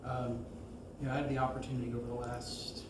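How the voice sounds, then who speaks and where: A man giving an informal eulogy at a memorial, speaking hesitantly with frequent pauses. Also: medium pitch, completely flat delivery. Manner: hesitant, pausing often. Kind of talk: informal memorial tribute, halting. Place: large room at a memorial service. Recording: echoey, noisy room, far from microphone.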